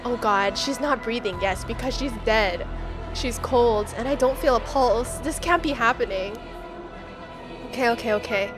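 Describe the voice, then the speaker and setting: A woman's voice: fairly high pitch, measured pace. A woman game streamer playing a horror game live, reading text aloud and reacting in a dramatic, slangy way. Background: game music.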